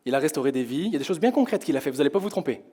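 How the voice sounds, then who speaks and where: A young man from France preaching to his congregation in a church hall, his voice hoarse, talking in a conversational way and asking the congregation questions. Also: on the high side, lively intonation, very fast, warm tone. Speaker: pastor. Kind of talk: sermon. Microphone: headset with in-ear monitor.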